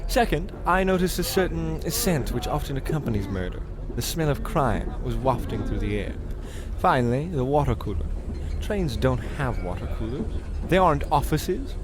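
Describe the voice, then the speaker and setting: An actor playing a pompous, melodramatic, absurdly self-assured detective in the theatrical style of old radio comedy. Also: male voice, mid-range voice, sing-song, normal speed, noisy background, natural voice. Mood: smug. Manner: theatrical, grandiose deductive declarations.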